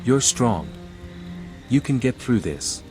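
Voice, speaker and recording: An actor playing a calm, steady male envoy, the scholarly hero of a fantasy video game, with measured and understated delivery. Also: mid-range voice, over music. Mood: encouraging. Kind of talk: video game protagonist dialogue.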